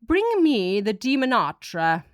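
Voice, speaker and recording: A woman's voice: fairly high pitch, very animated, unhurried, no room sound, voice pushed up. A menacing false god of an alien people, acted out by a woman for an audiobook. He is a cloaked tyrant who sneers and commands with open contempt. The sound is dry, with very little room. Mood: cold, commanding. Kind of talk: dramatic audiobook character voice.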